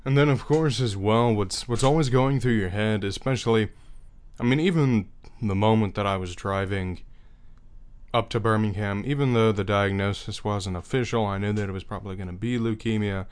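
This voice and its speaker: A young man talking earnestly in a reflective personal monologue, unhurried and thinking aloud as he goes. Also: fairly low pitch, smooth. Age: young adult.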